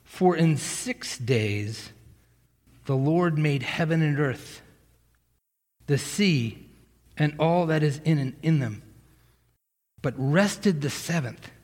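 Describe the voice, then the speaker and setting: A man from Massachusetts leads a sermon in a loose, joking way, often breaking off and restarting his sentences. His voice sits a little high for a man. The church sanctuary gives his voice a long, lingering reverb. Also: expressive, slow pace, pauses often, a little mellow. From Massachusetts, USA. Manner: casual, joking, self-interrupting preacher. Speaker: pastor. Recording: hall reverb.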